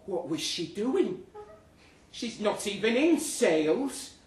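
A British man reads a comic story aloud in an expressive, theatrical storytelling manner at a live pub event. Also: high voice, slow pace. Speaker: reader at a live literary event. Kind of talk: dramatic comic story reading to an audience. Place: pub event room, live before an audience. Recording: roomy live pub recording, distant, faint noise. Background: faint room ambience, audience.

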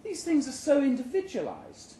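Consoling wife, played by a male reader, breezy and lightly patronising, high-set animated intonation, live story reading in an echoey room. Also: normal speed, exaggerated voice. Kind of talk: live short story character voice. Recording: roomy live venue recording with background noise.

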